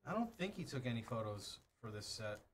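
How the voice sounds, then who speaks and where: A man chatting casually with a co-worker during a card break, mostly in short, relaxed replies. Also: mid-range voice, brisk, husky. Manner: laid-back, short replies. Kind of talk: casual chat.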